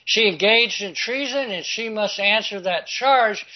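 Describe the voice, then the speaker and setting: A man reading news articles aloud and commenting on them, halting and stumbling over words as he goes. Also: high-pitched, lo-fi sound. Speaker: political commentary host.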